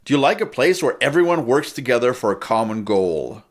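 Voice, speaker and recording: Guy running his own talk show, brash and teasing, middle-pitched voice. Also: brisk. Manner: brash, teasing, lively delivery. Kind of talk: casual monologue.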